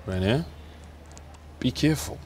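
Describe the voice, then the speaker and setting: A man in his thirties with a Nigerian accent, acting a clinic manager in a film drama and speaking in a measured, stern way. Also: mid-range voice, lively intonation, a little mellow. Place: outdoors on a clinic balcony. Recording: distant mic with faint noise.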